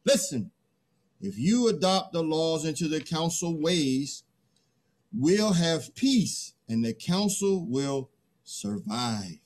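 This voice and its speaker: A man playing a pleading human envoy in a play reading, halting and emphatic. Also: on the high side, sing-song, a little mellow, heavily acted. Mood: pleading, insistent. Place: video call, indoors.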